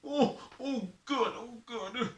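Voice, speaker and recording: Man putting on a high, whimpering storybook sufferer. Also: darkish tone, exaggerated voice. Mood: tearful relief. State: tearful, in pain. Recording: roomy echo, distant mic.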